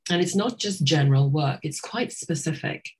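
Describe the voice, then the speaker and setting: A middle-aged woman with a low, husky voice, speaking as an interview guest. She talks in a reflective way, stops often to restart her thoughts, and uses fillers as she goes. She grew up in Trinidad. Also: normal speed. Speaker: psychotherapist guest.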